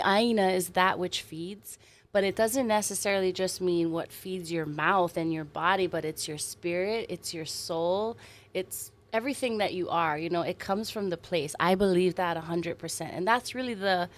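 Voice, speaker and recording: A woman's voice: lowish voice, moderate pace. Earnest woman from Hawaii, chatty. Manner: warm, earnest, animated storytelling with soft laughs. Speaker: singer-songwriter guest. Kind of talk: casual interview chat.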